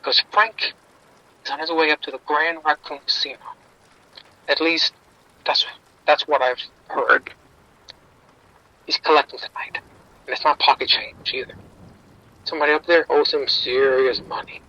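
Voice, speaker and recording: A man's voice: on the high side, pushed and bright, highs cut off. An actor playing a streetwise male bookie informant, wry and defensive, talking around the point in an audio drama.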